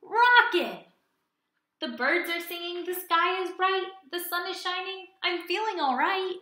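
A woman voicing an emotional alligator from a children's storybook, with emphatic, drawn-out words. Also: very high voice, bright, forceful tone, roomy sound, voice pushed up, exaggerated voice. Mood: overjoyed.

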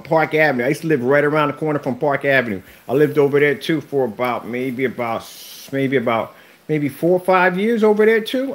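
A man, chatting casually to viewers in his home theater room and repeating himself as he rambles. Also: fairly high pitch. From Philadelphia. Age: 50s. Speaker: screen paint vlogger. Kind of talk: casual chat to viewers while livestreaming.

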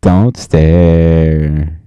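Slow deep guy goofing thru a homemade parody dub, crude silly ad-libs, super mellow tone. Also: flat delivery, clean tone, dry recording. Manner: silly, repetitive nonsense ad-libbing; crude joking. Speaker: amateur fandub voice performer. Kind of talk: goofy improvised parody dubbing.

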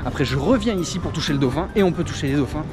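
A man's voice: a little high, rapid-fire. A man, chatting casually and expressively to camera in slangy vlog style. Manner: slangy, expressive, animated storytelling. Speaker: vlogger. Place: large glass-roofed indoor park with palms. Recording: music behind the voice. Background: loud background music.

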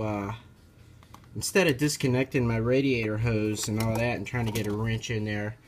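Halting man with noise behind him. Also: lowish voice, darkish tone. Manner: halting, hesitant, informal. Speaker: amateur car repair video maker. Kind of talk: casual hands-on repair walkthrough. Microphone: handheld camera held over the engine. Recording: noticeable background noise, amateur handheld camera.

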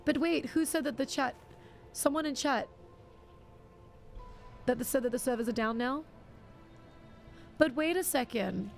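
Casting: young woman streamer, a touch high, gravelly, chatty with viewers, faint music behind. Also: a little slow, long pauses. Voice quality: scratchy. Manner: chatty, stammering restarts, reacting aloud. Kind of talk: casual live-stream chat while gaming.

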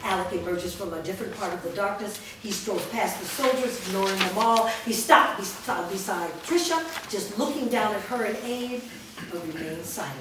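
A woman's voice: mid-range voice, recorded from a distance, noisy background. Woman reading stage directions, echoey studio. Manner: measured, descriptive. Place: large indoor rehearsal studio. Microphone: far camera mic.

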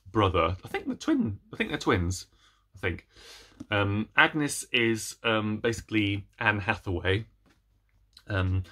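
Man, thirties, book vlogger. Low, bright, forceful voice; playful, rambling, casual delivery to camera. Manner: animated, playful, rambling with self-deprecating asides. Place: small room at home with bookshelves.

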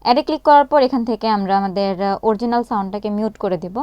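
A woman's voice: medium pitch, rapid-fire, warm tone, clear, resonant tone, no room sound, muffled recording. Woman presenting a tutorial, dry close sound. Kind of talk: casual step-by-step phone app tutorial.

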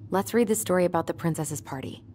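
A woman's voice: on the low side, quick pace, warm tone, slight background noise, lightly acted. A woman playing a wry, teasing young twin sister in an understated, naturalistic video game style. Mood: gently teasing. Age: young adult.

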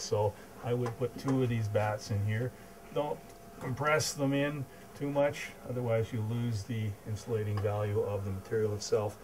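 A man's voice: fairly low pitch, a little hiss or hum. A middle-aged Canadian man, a home energy advisor, explaining repairs in a house basement in a practical, unpolished way with some hesitations. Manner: practical, hesitant with fillers, pointing things out. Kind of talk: on-site explanation.

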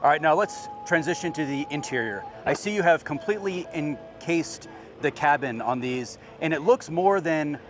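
Casual male interviewer, slightly high voice. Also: moderate pace, over music. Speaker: interviewer, video host. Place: outdoors at a busy expo ground. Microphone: wireless clip-on mic.

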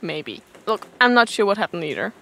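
A woman's voice: medium pitch, big pitch swings, brisk, creaky, background noise. A woman acting a weary, stranded astronaut in an audio drama, with a dry, sarcastic manner. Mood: wry, baffled.

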